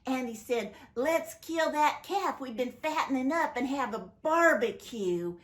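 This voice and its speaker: A woman voicing a humble servant who explains in a hesitant, halting way. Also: sits high, animated.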